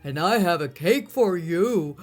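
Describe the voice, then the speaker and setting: A woman voicing a hungry, sly male wolf who talks loudly and draws out his words. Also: lowish voice, expressive, faint music behind. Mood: sly menacing. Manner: loud, drawn-out, menacing.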